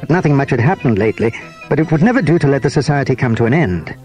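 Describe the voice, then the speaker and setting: A man's voice: medium pitch, lively intonation, fast, darkish tone, faint music behind. A man reading scripted narration for a children's storybook audio drama.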